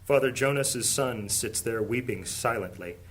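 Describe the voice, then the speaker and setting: A man's voice: mid-range voice, measured pace, fairly bright tone, slightly rough. A man acting as the solemn storyteller of an epic fantasy audio drama, reading scripted dramatic narration. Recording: music bed under the voice. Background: music.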